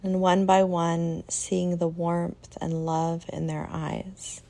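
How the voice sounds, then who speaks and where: A woman leading a guided meditation in a soft, soothing way, leaving long pauses between phrases. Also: fairly low pitch, completely flat delivery, vocal fry, rough recording.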